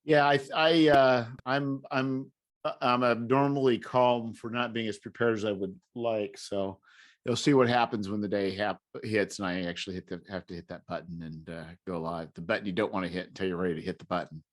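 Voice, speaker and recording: A man hosting a podcast in casual conversation, talking haltingly and often restarting his phrases. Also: on the low side, fairly even intonation. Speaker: podcast host.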